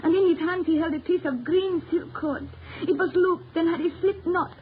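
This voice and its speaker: An actress playing a frightened, insistent young woman in an old-time radio melodrama, halting and emphatic, on a tinny recording. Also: very high voice, fairly even intonation. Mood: anxious, haunted. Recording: tinny, narrow, hissy vintage radio recording.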